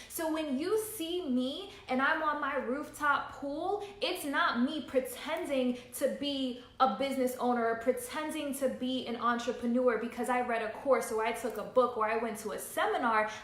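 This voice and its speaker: A woman in her thirties speaking as a business coach in a motivational livestream, with a fairly high voice. She is earnest and emphatic and drives her points home by repeating them. The sound is roomy and echoey. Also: normal speed, hardly any pauses. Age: 30s. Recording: roomy echo.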